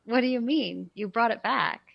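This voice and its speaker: An actress in a radio play playing a charming, youthful, breezy socialite with a casual, gushing way of talking. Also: female voice, fairly high pitch, moderate pace, creaky, dry recording, lo-fi sound. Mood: baffled.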